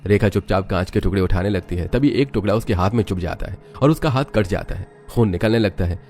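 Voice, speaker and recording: A man reads a dramatic story in a low voice. His delivery is quick and scripted, carried over a music bed. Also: darkish tone. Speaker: narrator. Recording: background music under voice.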